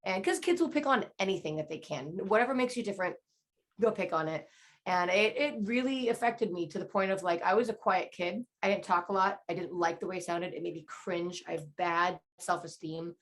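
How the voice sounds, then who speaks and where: A woman with a naturally low voice, talking candidly and rambling as a band guest in a casual interview. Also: fairly quick. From Southern California. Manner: rambling, candid, lots of fillers.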